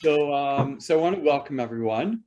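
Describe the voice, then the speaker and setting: A man acting as rabbi and host, introducing a speaker over a video call in a halting way, with many ums and repeated words. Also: mid-range voice, expressive, darkish tone, highs cut off. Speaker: rabbi hosting a talk. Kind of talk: hesitant spoken introduction.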